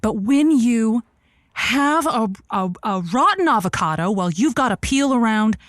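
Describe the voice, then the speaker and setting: A woman's voice: a little high, lively intonation, unhurried, no room sound, studio quality. A woman playing a flustered, rambling radio advice host who stammers earnestly and gives grand, sentimental counsel in an improvised audio drama.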